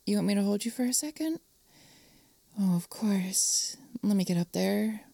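A woman playing an affectionate, flirtatious lover in an intimate adult audio roleplay, breathy and often dropping into whispers. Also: medium pitch, lively intonation, dark tone, no room sound. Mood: affectionate, playful. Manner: slow, lingering, tender delivery with pet names.

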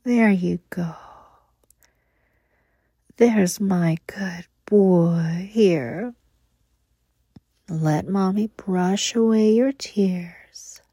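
Woman as a slow, breathy doting caretaker. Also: mid-range voice, no room sound, clean studio sound. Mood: soothing, doting. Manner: soft, cooing, often whispered. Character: doting, protective motherly woman lover. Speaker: audio roleplay performer. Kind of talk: intimate whispered audio roleplay.

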